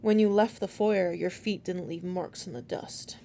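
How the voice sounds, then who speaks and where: Woman acting out a teenage boy demon hunter, cold, confident, accusing, creaky voice, storybook reading, faint noise. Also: on the low side, measured pace, warm tone.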